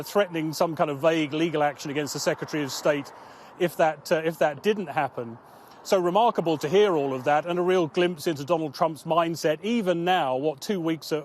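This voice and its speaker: A man's voice: a little high, darkish tone. A man working as a news correspondent, giving a live explanatory report. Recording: outdoor report with clear background noise.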